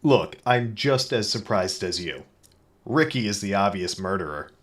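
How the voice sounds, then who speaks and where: Comedy noir private eye, male actor: deep, deadpan, dramatic and clueless, echoey with music underneath. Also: measured pace. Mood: suspicious. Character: self-serious, dim-witted male private detective, narrating his case. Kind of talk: parody noir narration and character dialogue.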